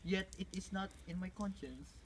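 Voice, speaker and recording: Young guy doing excitable vengeful duelist, super high voice, backyard shakespeare, bit of noise. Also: moderate pace, lightly acted. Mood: combative. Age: young adult. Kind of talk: amateur Shakespeare dramatic performance. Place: outdoors in a residential backyard. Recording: distant, slightly noisy outdoor camera microphone.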